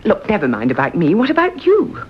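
An actress playing an excitable, superstitious friend who gushes and frets, in a lo-fi old radio drama. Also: female voice, mid-range voice, fairly quick, breathy edge. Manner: gushing, fretful, emphatic. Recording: dull lo-fi old radio recording.

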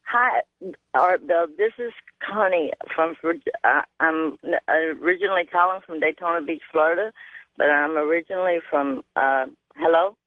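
Call-in radio caller, woman from the Virginia mountains, speech, halting but emphatic, narrow dry phone audio. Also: lowish voice, no echo. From Virginia mountains, United States. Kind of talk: casual anecdote on call-in radio. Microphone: telephone. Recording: tinny narrowband phone line.

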